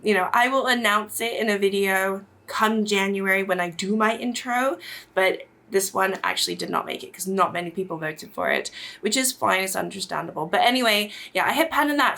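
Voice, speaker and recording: A woman's voice: medium pitch, bright, forceful tone. A young adult woman with a stuffy nose from a cold, chatting casually to camera about her makeup in a rambling, self-deprecating way. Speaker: beauty vlogger.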